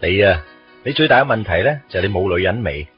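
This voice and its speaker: A young man with a deep-set pitch, blunt with friends and soft on romance. It is radio drama, a little dull in fidelity with faint music behind. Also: expressive, fast, breathy edge, exaggerated voice. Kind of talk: Cantonese radio drama acting.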